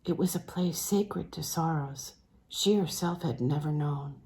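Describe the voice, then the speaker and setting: A woman reading a literary novel aloud in a measured, phrase-by-phrase way, letting phrase endings fall soft and breathy. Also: deep voice. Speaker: audiobook reader.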